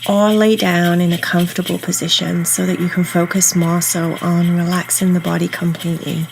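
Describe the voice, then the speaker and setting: A woman guiding a meditation in a soothing, unhurried, instructional way, leaving pauses between phrases. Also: fairly low pitch, little pitch movement, vocal fry, a little hiss or hum, low-quality recording. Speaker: meditation guide. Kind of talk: guided meditation narration. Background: soft ambient meditation music.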